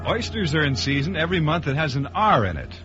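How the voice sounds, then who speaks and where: A man's voice: on the high side, sing-song, fast talker. An American man reading scripted credits and promos as a polished, formal mid-century radio announcer. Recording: muffled vintage radio recording, faint music.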